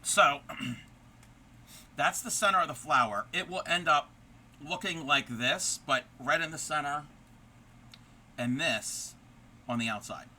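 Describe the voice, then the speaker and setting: A man's voice: a little high, slow pace, pauses often, pushed and bright. A man hosting a crochet livestream, chatting casually and answering viewers while he explains stitches step by step, his voice turning scratchy.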